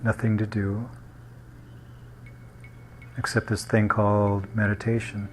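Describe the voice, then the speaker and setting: A man guiding a meditation in a gentle, unhurried manner, leaving long pauses between short phrases. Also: lowish voice, flat delivery, a little hiss or hum. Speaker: meditation guide. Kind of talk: guided meditation.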